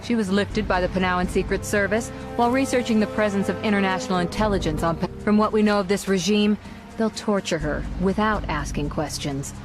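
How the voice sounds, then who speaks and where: An actress playing a businesslike, urgent intelligence agent in a video game, speaking in a brisk, no-nonsense way. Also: female voice, mid-range voice, lightly acted. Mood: grave, urgent. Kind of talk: video game cutscene acting. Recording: music behind the voice.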